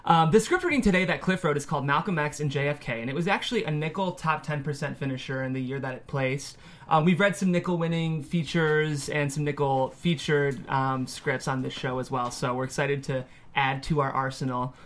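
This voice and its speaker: A man's voice: on the high side, fairly quick, fairly bright tone. A man hosting a studio table-read show, presenting and reading out screenplay narration in an upbeat way.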